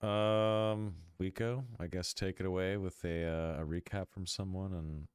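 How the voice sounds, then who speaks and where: A man's voice: deep voice, steady tone, measured pace, clear, resonant tone, no room sound, clean studio sound. A man with a stuffy nose from a cold hosts a casual chat before a tabletop game, laid-back and joking. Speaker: host. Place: dark room at home.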